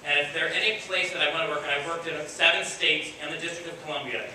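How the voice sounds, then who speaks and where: Bright-voiced man addressing an echoey hall. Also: fairly high pitch, fairly even intonation. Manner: halting, repeating words. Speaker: visiting artist leading school drama residencies. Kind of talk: informal thank-you speech to an audience. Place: school stage before an audience. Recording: echoey hall, distant mic, audience noise.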